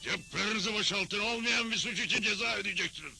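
A man's voice: high-pitched, quick pace, gravelly, bright, forceful tone, soft background music, heavily acted. A man voicing a sly, pompous crooked villain who poses as a judge in a western cartoon dub, speaking in a mock-official manner.

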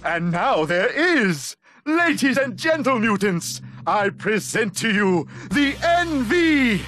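Casting: theatrical mad-scientist pitchman, high, swooping, grandiose and scornful, game cartoon, music behind. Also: very animated, heavily acted. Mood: grandiose salesman. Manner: grandiose, drawn-out showman delivery. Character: pompous mad-scientist villain hawking gadgets, scornful and theatrical. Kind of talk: parody infomercial pitch in game cutscene.

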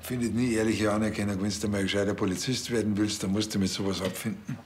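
A man's voice: on the low side, steady tone, quick pace, a little hiss or hum, natural voice. An actor playing a cocky, stubborn village policeman with a Bavarian accent, talking in a colloquial, matter-of-fact way. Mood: earnest, self-assured. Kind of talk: naturalistic comedy-crime dialogue.

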